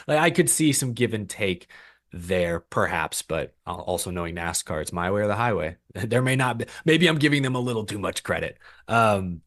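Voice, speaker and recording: Male podcast co-host, lowish voice, chatty and laughing, loose delivery. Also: a bit bright. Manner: chatty, laughs often, many restarts. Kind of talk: casual chat.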